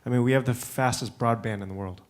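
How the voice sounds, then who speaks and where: A man who grew up in the United States, speaking on a conference panel in a relaxed way with many fillers and restarts. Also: mid-range voice, fast. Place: conference room.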